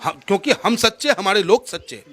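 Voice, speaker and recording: A man's voice: high-pitched, animated, a little fast, fairly bright tone. A man giving impassioned political answers in an interview, oratorical and rhetorical, repeating phrases for emphasis.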